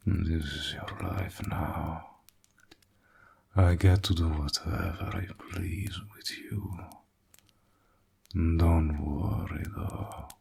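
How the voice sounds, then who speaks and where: A seductive, dangerous warlock villain, acted by a man for roleplay audio. His low voice is full of heavy gravelly fry and crawls along very slowly. He sounds smug and possessive, teasing in a deliberate, intimate half-whisper. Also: darkish tone, heavily acted. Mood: possessive, reassuring. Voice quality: gravelly, husky, breathy voice.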